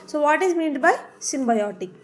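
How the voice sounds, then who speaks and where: A woman's voice: sits high, expressive, moderate pace, crowd noise. A woman with a South Indian accent giving a biology lesson in a didactic, emphatic way, repeating key terms. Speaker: biology teacher. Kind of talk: classroom lecture, explaining definitions.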